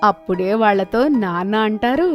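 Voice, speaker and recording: A woman's voice: medium pitch, lively intonation, normal speed. Female narrator, mid-range voice, scripted kids' storytelling, prominent music bed.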